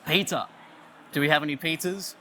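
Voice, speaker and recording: A man with an Australian accent giving a playful conference talk, loudly joking and chatting with the audience. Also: on the high side, moderate pace, a little hiss or hum. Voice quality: loud voice. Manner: jokey, playful, chatty with the crowd. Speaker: conference speaker. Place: conference stage, audience on headphones.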